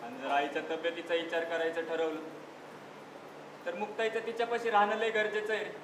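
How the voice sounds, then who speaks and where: Guy as humble village family man on stage, highish heartfelt voice, plain talking, far off n echoey. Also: level tone, lightly acted. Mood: heartfelt, conciliatory. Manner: plain-spoken, heartfelt, deliberate. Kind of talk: live one-act stage play acting. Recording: echoey distant stage recording with noise.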